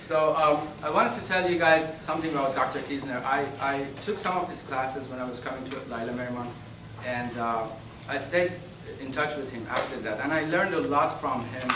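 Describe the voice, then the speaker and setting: A man giving a hesitant acceptance speech with many pauses and a flat, monotone delivery. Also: mid-range voice. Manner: hesitant, many ums, self-described monotone. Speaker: award recipient. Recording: echoey hall, distant mic, background noise.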